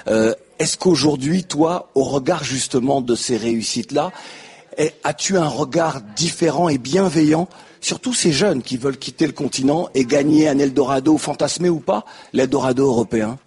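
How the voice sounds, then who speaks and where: Very raspy male interviewer, halting phrasing. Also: medium pitch, a little mellow. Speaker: interviewer, host. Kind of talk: interview questioning, formal vocabulary.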